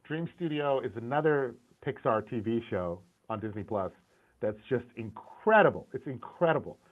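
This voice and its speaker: A middle-aged man in a casual interview speaks candidly and with energy, often restarting mid-sentence. His voice sits at an ordinary pitch. The sound is thin and tinny, like a video call over a phone line. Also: moderate pace. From Syrian, grew up in the US. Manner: candid, animated, frequent restarts and repeated words. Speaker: guest, concept art and animation director.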